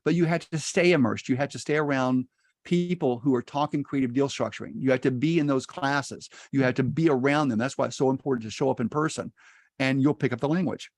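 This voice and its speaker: A man's voice: mid-range voice, brisk, a little mellow, no room sound. A middle-aged man, a native Spanish speaker, teaching real estate investing in a folksy, upbeat way over a video call.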